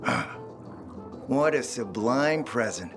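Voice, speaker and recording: An actor playing a suave, flirtatious, self-assured smuggler boss in a video game, with a smooth, teasing manner. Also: male voice, mid-range voice, sing-song, takes it slowly, music in the background. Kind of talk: video game dialogue acting.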